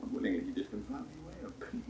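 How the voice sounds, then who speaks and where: Sardonic soldier, very low and off-mic, noisy roomy old recording. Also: male voice, very animated, racing, far from the mic. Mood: wry. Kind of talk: 1960s studio radio play acting.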